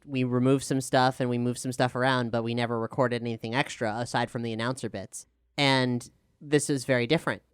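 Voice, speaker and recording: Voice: mid-range voice, smooth, no echo, clean studio sound. An enthusiastic, articulate podcast host talking conversationally through a question-and-answer show. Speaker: showrunner and host.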